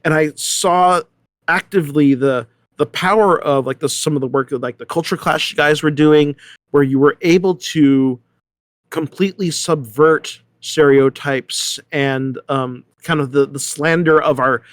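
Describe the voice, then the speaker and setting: A man's voice: a little high, a little slow, dry recording. A middle-aged American man talking casually as an interview guest, rambling and often hesitating and correcting himself. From San Diego, United States. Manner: rambling, animated storyteller with many hesitations and self-corrections. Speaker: theater artistic director, interview guest.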